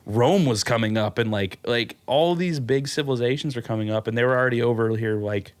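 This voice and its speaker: A man's voice: mid-range voice, fairly quick, clear, resonant tone. Young midwest guy hosting a podcast, laughs a lot, blunt, talks loose. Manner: animated, laughing, blunt and joking. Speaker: podcast host. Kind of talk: casual chat.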